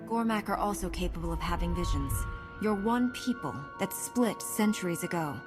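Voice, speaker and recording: An actress playing a principled, calm young heroine in a science-fantasy video game, sincere and plain-spoken. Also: female voice, on the low side, normal speed, lightly acted. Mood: earnest. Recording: music behind the voice.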